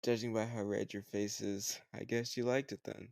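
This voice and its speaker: Male actor as slightly older home tutor for romantic audio roleplay: lowish creaky voice, close dry sound, teasing, patient, encouraging. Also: flat delivery, moderate pace, a little mellow, no echo. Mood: playful, coaxing. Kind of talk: scripted romantic audio roleplay, addressed to the listener.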